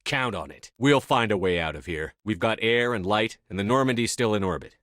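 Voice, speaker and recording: A man acting in a video game as a commanding, resolute space soldier, speaking firmly with measured authority. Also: on the low side, expressive, a bit bright, no room sound, clean studio sound. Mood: defiant, determined. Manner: firm, authoritative, measured delivery. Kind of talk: scripted game dialogue acting.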